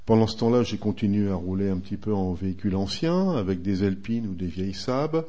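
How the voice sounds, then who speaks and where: A retired man in his sixties from northern France talks about his past in a relaxed interview, pausing often as he thinks. His voice sits fairly low and sounds soft and mellow rather than bright. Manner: unhurried, reminiscing, frequent hesitations. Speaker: guest, retired racing driver and motoring writer. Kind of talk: relaxed radio interview answers.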